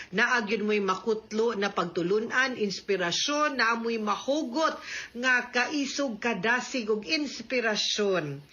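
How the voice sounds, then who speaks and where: A woman's voice: on the high side, pushed and bright, lo-fi sound. A woman with a Cebuano accent hosting radio legal advice as a lawyer, chatty, with English words and tag questions mixed in. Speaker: host and lawyer giving advice.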